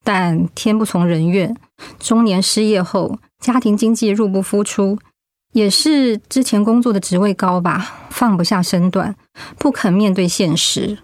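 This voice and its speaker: A woman's voice: medium pitch, measured pace, a little mellow, dry recording, clean studio sound, close to an everyday voice. A woman acting a proud, stubborn middle-aged wife and mother in a radio drama, blunt and sardonic. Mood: bitter, resigned.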